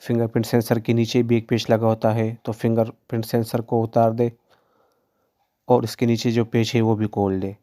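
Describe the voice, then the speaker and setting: A man's voice: medium pitch, flat delivery, normal speed, dark tone. A man narrating step-by-step repair instructions in a plain, instructional way as a tutorial presenter.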